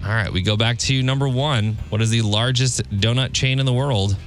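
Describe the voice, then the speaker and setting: A man working as a radio quizmaster, with a mid-pitched voice. He delivers trivia questions and light banter in an upbeat hosting style. Music plays faintly underneath him. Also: moderate pace. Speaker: radio show host, quizmaster. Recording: music bed behind the voice. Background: background music.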